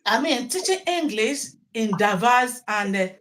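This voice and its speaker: A woman's voice: on the high side, animated, a little slow, fairly bright tone. A middle-aged Nigerian woman, a native Yoruba speaker, talking at length as a teacher being interviewed, often repeating words and restarting. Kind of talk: interview answers.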